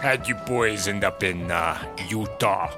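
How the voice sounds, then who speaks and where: A man's voice: deep voice, unhurried, over music. A man playing a jovial, folksy, slightly lewd husband in a comedy audio drama, casual and winking. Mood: genial.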